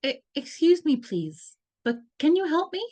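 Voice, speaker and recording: A woman's voice: sits high, very animated, a little slow, a little mellow, no room sound. A woman voicing a determined but stammering teenage girl, read over a video call. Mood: earnest. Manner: hesitant, stammering.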